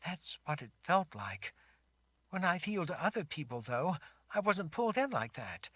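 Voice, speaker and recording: A man's voice: on the high side, big pitch swings, moderate pace, a bit bright, breathy edge, no room sound, pitched above the performer's own voice, full character voice. A man voicing a frightened, tearful young sorceress girl who sobs and pleads. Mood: shaky. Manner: sobbing, pleading questions.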